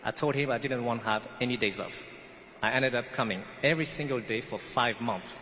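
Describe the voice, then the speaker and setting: A man's voice: mid-range voice, fairly quick, pushed and bright, slight background noise. A man from French Guiana, not a native English speaker, giving an earnest commencement speech about his life. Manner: earnest storytelling. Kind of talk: prepared speech. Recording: echoey hall, faint ambience.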